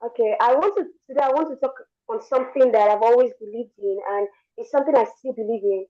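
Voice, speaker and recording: A young woman with a worn, hoarse voice, giving an earnest motivational talk over an online call. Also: on the high side, fast, lo-fi sound. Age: young adult. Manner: earnest, repeats words while speaking. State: lost her voice. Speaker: guest speaker.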